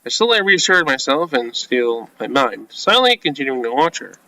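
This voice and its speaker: A man's voice: mid-range voice, big pitch swings, moderate pace, pushed and bright, no room sound, rough recording. A man reading a visual novel game script aloud, mixing in informal asides and casual commentary as a let's-player. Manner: informal, reacts with asides between read lines.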